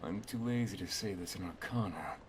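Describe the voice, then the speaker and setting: A deep, hushed male wizard acted by a man guides an apprentice he loves in an intimate ASMR roleplay. He is protective and gently instructive, often near a whisper. Clear background noise sits behind the voice. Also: brisk, natural voice. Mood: tender, instructive. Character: protective, affectionate male wizard master teaching his apprentice and lover. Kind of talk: ASMR roleplay, intimate second-person monologue.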